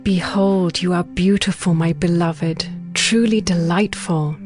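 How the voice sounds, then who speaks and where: An actress playing a tender, devoted young bride in a dramatized scripture reading, with measured, poetic delivery. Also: female voice, fairly low pitch, steady tone, darkish tone, faint music behind, close to an everyday voice. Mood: tender, adoring.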